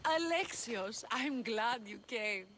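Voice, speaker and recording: A woman's voice: on the high side, animated, slow, a bit bright, slight background noise. A woman playing a passionate young rebel leader of ancient Greece in a video game cutscene. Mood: wistful.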